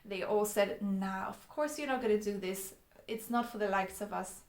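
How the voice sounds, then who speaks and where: A woman's voice: medium pitch, normal speed, reverberant. A young woman from Poland, Polish her first language, telling her life story to the camera in an earnest way with frequent ums and restarts. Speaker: vlogger.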